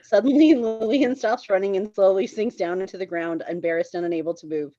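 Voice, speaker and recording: A woman's voice: medium pitch, brisk, warm tone, dry recording, lo-fi sound. Woman narrating a script, dry sound. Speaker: stage-direction narrator and reader. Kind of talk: screenplay table read narration.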